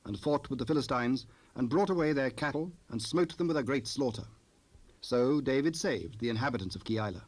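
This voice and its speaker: A man narrating a dramatized scripture recording in a formal, measured storytelling manner. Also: medium pitch, lightly acted.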